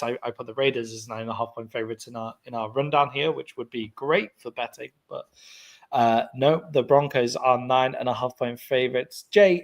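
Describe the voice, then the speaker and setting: A man with an English accent, co-hosting a podcast and talking football in a loose, chatty way. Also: medium pitch. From England. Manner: chatty, self-correcting, asks co-host questions. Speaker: podcast co-host. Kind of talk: casual sports chat.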